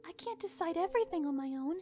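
Here is a gentle young pony princess from an animated drama, acted by a woman. Her voice is extremely high, and she speaks politely and hesitantly, earnest and curious. Music plays underneath. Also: fast. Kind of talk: animated fan drama acting.

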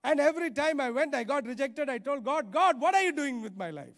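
A middle-aged male preacher delivers a sermon in a high-pitched voice. He is emphatic, repeating key phrases and checking in with short rhetorical questions. He speaks into a handheld microphone on an auditorium stage. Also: expressive, a little fast, a bit bright. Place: large church auditorium stage, indoors.

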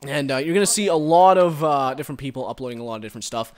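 A man giving casual, rambling commentary while playing a game, recorded on a headset and reacting loudly to the action. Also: medium pitch, lively intonation, very fast. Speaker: gaming commentator.

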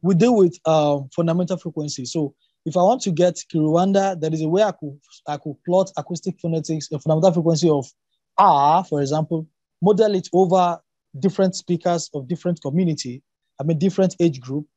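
A man who grew up speaking Yoruba talks through ideas in an academic discussion. His voice is high for a man and mellow in tone, recorded dry and close. He is emphatic, circling back to rephrase his points. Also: a little fast, dry recording, lo-fi sound. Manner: emphatic, repeats and rephrases, poses rhetorical questions.

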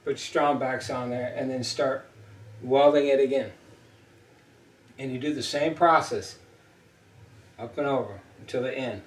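A middle-aged man gives a blunt, emphatic welding lesson, hammering his points home by repeating them. His voice sits at an ordinary pitch. It is caught by a camera mic a few feet away in a small, echoey room. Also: far from the mic. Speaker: ship fitting instructor. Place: small indoor room at a whiteboard. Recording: roomy echo, voice off-mic.

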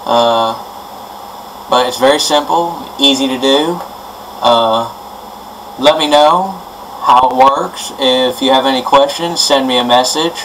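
A man presenting an informal hands-on electronics tutorial in a halting, improvised way, recorded on amateur camera audio at a workbench indoors. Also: mid-range voice, a bit bright, slight background noise, poor audio.

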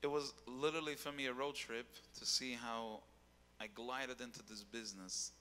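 A young adult man, a singer as guest, talking casually into a handheld mic on a dark stage, often repeating words as he thinks. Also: mid-range voice, fairly even intonation, normal speed, big-room sound. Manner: rambling, repeats words while searching.

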